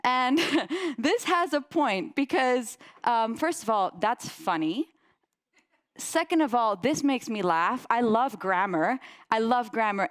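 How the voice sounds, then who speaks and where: A young woman from the Netherlands giving a presentation, telling personal stories in an animated way and laughing often. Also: fairly high pitch. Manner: animated, laughs often, lively voices in anecdotes.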